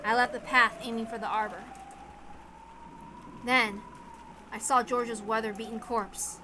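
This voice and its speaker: A woman reading a dramatic story aloud as narrator, expressive, theatrical and deliberate. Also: fairly high pitch, noisy background. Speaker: reader of a visual novel. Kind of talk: dramatic scripted narration with acted dialogue. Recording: background music under the reading. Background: music.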